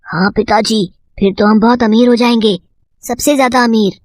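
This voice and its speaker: A woman's voice: a little high, fairly even intonation, normal speed, a little mellow, no room sound, pitched below the performer's own voice, lightly acted. A woman voicing a farmer's eager, greedy young son in a cartoon moral story.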